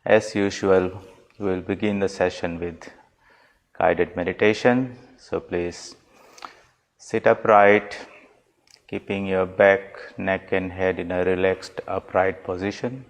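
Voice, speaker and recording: Male meditation guide: low, unhurried, calm and measured spiritual instruction. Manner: calm, measured, deliberate phrasing. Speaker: swami leading guided meditation.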